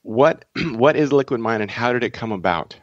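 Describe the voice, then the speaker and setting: A man's voice: mid-range voice, animated, moderate pace, dry recording, dull audio. A man hosting a podcast, speaking in reflective monologue and introducing guests. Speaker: podcast host.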